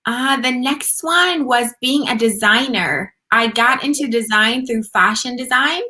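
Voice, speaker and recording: A woman's voice: fairly high pitch, measured pace, bright, forceful tone. A woman from Germany, guest on an interview show, talking casually and upbeat about her marketing work. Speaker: podcast guest, marketing agency founder.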